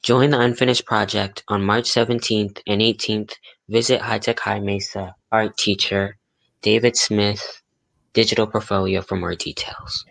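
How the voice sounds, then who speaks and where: A man's voice: fairly low pitch, little pitch movement, unhurried, gravelly, fairly bright tone, poor audio. A man playing an eager, folksy announcer in the scripted style of 1940s radio. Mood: formal, promotional.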